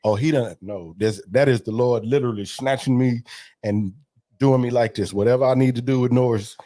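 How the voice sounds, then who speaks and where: A man in his forties telling stories in a relaxed interview, acting out what people said. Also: mid-range voice, fairly quick, no echo. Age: 40s. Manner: animated storyteller, acts out quotes. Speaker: gospel artist guest. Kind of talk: casual interview storytelling.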